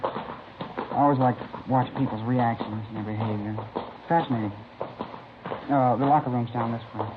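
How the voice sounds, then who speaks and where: An American actor playing an eager, talkative high school boy in a 1950s radio drama, polite and slightly hesitant. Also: steady tone, moderate pace, long pauses, lightly acted. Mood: enthusiastic. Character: eager, chatty teenage boy, high school amateur sleuth. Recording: tinny, noisy old-time radio recording.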